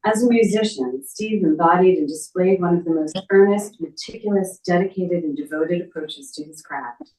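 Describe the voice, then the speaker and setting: A woman's voice: fairly low pitch, normal speed, hardly any pauses, mellow. A middle-aged woman speaking at a memorial, reading a written tribute aloud. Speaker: memorial speaker, relative of the family. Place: echoey indoor memorial gathering. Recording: echoey room, distant microphone.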